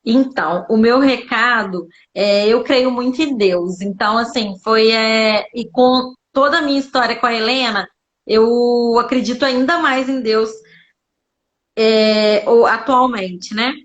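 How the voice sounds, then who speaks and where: Female chat guest, Minas Gerais Brazilian accent, very high voice, unhurried delivery, casual. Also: a bit bright. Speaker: guest, mother sharing her story. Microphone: live social media video call. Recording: dull lo-fi livestream audio.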